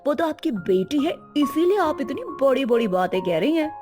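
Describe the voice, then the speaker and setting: Woman playing a haughty, scornful high-voiced mother. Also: sing-song, normal speed. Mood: condescending. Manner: disdainful, condescending. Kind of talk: animated moral-story dialogue. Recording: music behind the voice.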